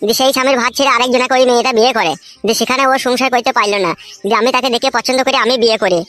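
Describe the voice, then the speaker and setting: A man's voice: very high voice, steady tone, rapid-fire, sharp tone. A man voicing a downtrodden, naive young village husband in a comic cartoon, plaintive and deferential. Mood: confiding, aggrieved. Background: music.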